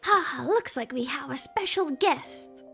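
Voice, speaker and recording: A woman streamer reacting live to a game and reading its text aloud in an expressive, exclamatory way. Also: high-pitched, slow, vocal fry. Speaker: gaming streamer. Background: game music.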